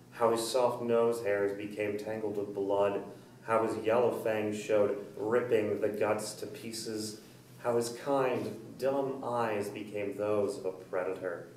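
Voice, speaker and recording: Heavy room echo surrounds a distant male voice with faint noise behind it. It is an actor as a haunted, earnest man in a trance in a staged play. He speaks deliberately and intensely, in a medium pitch. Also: darkish tone, lightly acted. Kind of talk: dramatic staged play reading. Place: indoor room, staged reading recorded from afar.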